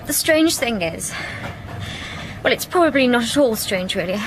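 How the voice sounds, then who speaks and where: An actress playing an anxious, polite young office worker in a radio ghost story, hesitant and apologetic in manner. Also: female voice, fairly high pitch, expressive, normal speed, background noise. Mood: shaken, hesitant.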